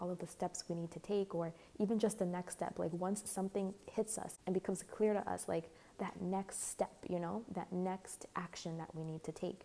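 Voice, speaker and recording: Tarot reader, woman, lowish mellow voice going a bit hoarse; rambly, filler-heavy casual delivery. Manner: rambling, full of "like" and "you know". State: slightly hoarse, losing her voice. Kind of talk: casual tarot reading to camera.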